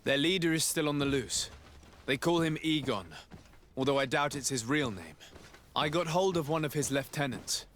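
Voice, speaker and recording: A man as a stern, higher-voiced diplomatic emissary. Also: fairly bright tone, a little hiss or hum, lightly acted. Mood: grave, formal. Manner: formal, measured. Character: stern, formal male diplomatic emissary of a merchant state.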